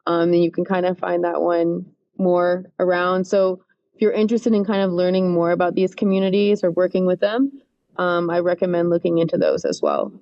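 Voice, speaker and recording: A woman who coordinates English learner programs, talking through classroom advice in a relaxed, thoughtful way with frequent restarts. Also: fairly low pitch, normal speed, lo-fi sound. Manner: thoughtful, restarts often, many "um" and "right?". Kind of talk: casual podcast interview.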